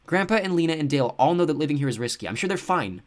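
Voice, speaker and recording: A man puts on a scared yet stubborn girl of about twelve. The voice is pitched well above his own and the pitch moves a lot. She speaks earnestly and insists on her point. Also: lively intonation, very fast, close to an everyday voice. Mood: anxious.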